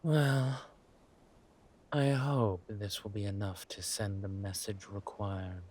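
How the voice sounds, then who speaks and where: A man performs a suave villain king in an intimate audio drama. His voice sits a little low and moves very slowly, with halting pauses and purring hums. He is ruthless, yet teasing and loving toward his wife. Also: steady tone, darkish tone. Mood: confident, scheming.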